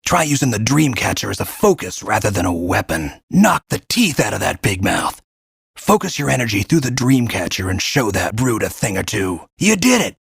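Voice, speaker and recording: A deep, very husky folksy guide. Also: male voice, very animated, normal speed, no echo, clean studio sound, exaggerated voice. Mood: excited. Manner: folksy, drawling colloquial talk, wry. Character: folksy gruff male guide to a fantasy land, wry mentor. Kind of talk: scripted game character narration.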